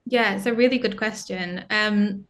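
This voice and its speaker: A woman's voice: mid-range voice, fairly even intonation, normal speed, fairly bright tone. A young woman, a band's singer, chatting casually as an interview guest, with lots of fillers and frequent laughter.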